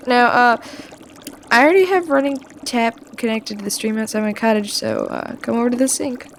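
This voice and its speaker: A woman's voice: fairly high pitch, moderate pace, slight background noise, natural voice. A woman voicing a mischievous male trickster witch who teaches potion-making in an audio roleplay. The witch snickers, teases and stumbles over hesitant fillers. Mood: playful, sly. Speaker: potion tutor.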